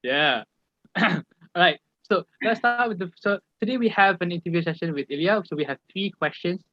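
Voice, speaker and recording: A man's voice: high voice, lively intonation, normal speed, no echo. Easygoing young man, dull dry audio. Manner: easygoing, hesitant, many fillers. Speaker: podcast co-host. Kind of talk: casual podcast chat.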